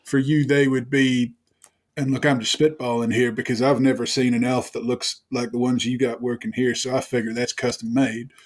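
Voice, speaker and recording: A man with a New Zealand accent playing a sardonic water genasi monk in a tabletop game, wry, wordy and halting. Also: medium pitch, level tone, natural voice. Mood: suspicious.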